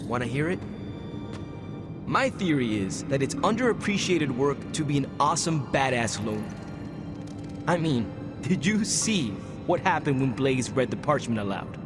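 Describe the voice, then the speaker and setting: A man's voice: medium pitch, very animated, normal speed, close to an everyday voice. A young man playing a brash, earnest superhero in a video game, talking in a casual, slangy way. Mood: admiring. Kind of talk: conversational video game acting. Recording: voice under clear background music.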